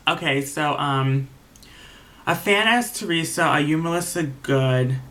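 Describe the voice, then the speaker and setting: A man's voice: a little high, unhurried, pushed and bright. A young man giving a casual, rambling review of a reality show episode, swearing freely and retelling scenes as he goes.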